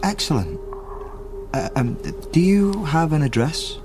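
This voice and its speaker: An actor playing an eager, inquisitive investigator in a naturalistic radio drama. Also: male voice, a little high, very animated, unhurried, over music. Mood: eager, inquiring. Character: eager, inquisitive male investigator tracing an artist's family.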